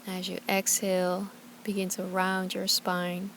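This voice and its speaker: A woman leads a guided yoga practice in a gentle, soothing manner. Her voice sits at an average pitch, and she gives short, calm cues. There is some ambient noise behind her. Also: a little slow, poor audio. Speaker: yoga and meditation teacher.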